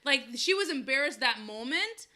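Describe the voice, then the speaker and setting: A woman's voice: high voice, lively intonation, normal speed, bright, forceful tone. A woman in her thirties, a podcast co-host, telling a story in casual chat, laughing often.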